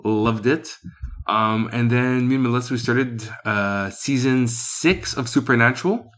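A man's voice: medium pitch, measured pace, fairly bright tone. A man co-hosting a casual podcast, slightly nasal from a cold, joking and rambling as he corrects himself. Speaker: podcast co-host.

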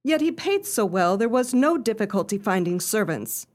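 A woman playing an earnest, genteel young lady in a radio play, telling her story in formal, measured phrases. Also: mid-range voice, sing-song, a little mellow, natural voice. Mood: earnest, grave.

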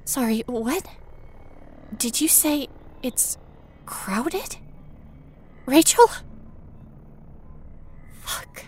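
A woman's voice: high-pitched, expressive, very slow, pauses often, a little mellow, breathy edge, full character voice. A woman playing a nervous, wry paranormal investigator in an acted first-person horror audio drama, talking in a conversational, self-aware way. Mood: urgent calling. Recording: noisy ambient sound bed behind voice.

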